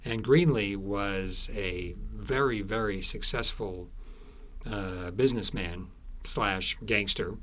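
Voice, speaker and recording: A man being interviewed as an author, telling stories conversationally in halting, explanatory phrases with thoughtful pauses. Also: fairly low pitch, a bit bright.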